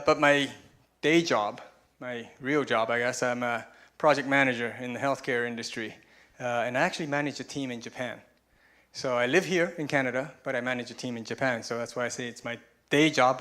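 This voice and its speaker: A man's voice: medium pitch, a bit bright. Chatty, self-mocking man in his forties. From Taiwan. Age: 40s. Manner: chatty, self-deprecating, chuckles at his own jokes. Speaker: conference speaker. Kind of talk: personal talk with slides. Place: stage in a conference room.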